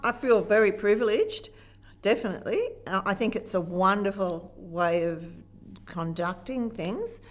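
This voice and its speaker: A woman's voice: medium pitch, measured pace. A woman in her seventies with an Australian accent, chatting openly and laughing easily in a documentary interview. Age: 70s. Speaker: citizen juror.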